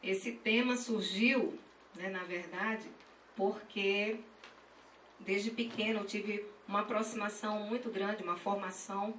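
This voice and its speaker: Slow-paced northeastern Brazilian woman, echoey and distant. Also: medium pitch, fairly even intonation, fairly bright tone. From Pernambuco, Brazil. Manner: halting, checks in often with tag questions. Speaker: graduate dance student and teacher presenting research. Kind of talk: academic conference presentation. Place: echoey session room at a university dance school. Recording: roomy, distant mic, faint noise.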